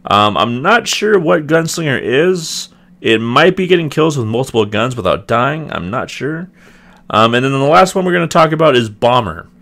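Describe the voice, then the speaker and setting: A young man with a slightly raspy voice, casually reviewing a video game and hedging often. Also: medium pitch, very animated, normal speed, fairly bright tone. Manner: rambling, hedging, many ums and restarts. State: clearing throat, voice getting raspy. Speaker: gaming video host. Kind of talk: casual gameplay review commentary.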